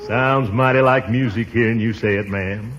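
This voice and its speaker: An actor playing a pompous, blustery middle-aged uncle in 1940s radio comedy style. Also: male voice, on the low side, fairly even intonation, moderate pace, a bit bright, dull audio, natural voice. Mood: courting, folksy. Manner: blustery, theatrical delivery. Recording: noisy vintage 1940s radio recording.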